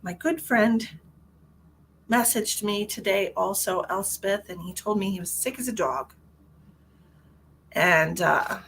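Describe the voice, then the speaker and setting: A woman's voice: mid-range voice, bright, forceful tone, slight background noise. A woman hosting a tarot livestream, chatting casually and rambling as she answers viewer comments, a little stuffy-nosed, talking from her car. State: stuffy nose.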